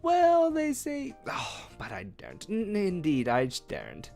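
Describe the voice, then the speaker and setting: A reader putting on a trembling young page boy who begs and cowers, his voice pitched well above the narrator's own. He pleads in archaic phrasing. His lines swing widely in pitch, and soft guitar plays behind him. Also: big pitch swings, slow pace, full character voice. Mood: fearful. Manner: pleading, trembling, old-fashioned speech.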